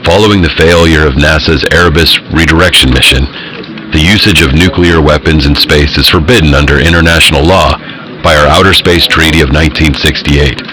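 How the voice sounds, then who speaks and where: Super deep serious newsreader for a radio drama, formal, noise behind him. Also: male voice, fairly even intonation, a little fast, bright, forceful tone. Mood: grave. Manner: formal, sober. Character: grave male news anchor on asteroid watch. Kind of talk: broadcast news report. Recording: noisy radio broadcast.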